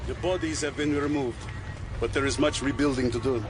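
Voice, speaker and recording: A man's voice: medium pitch, steady tone, a little fast, background noise, natural voice. A man playing a stern, dismissive Saudi facility official in an audio drama, formal and curt. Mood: coolly formal.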